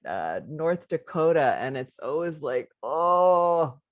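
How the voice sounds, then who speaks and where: A woman taking part in a webinar over a video call, speaking in a halting, unsure way. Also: low voice, sing-song, dry recording. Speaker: webinar participant. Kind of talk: casual chat.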